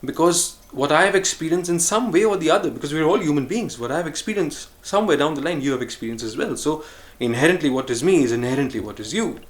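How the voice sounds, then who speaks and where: A man vlogging a reflective solo monologue, musing and earnest, with frequent pauses and restarts. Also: on the high side. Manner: earnest, speaks of choosing calm over harshness.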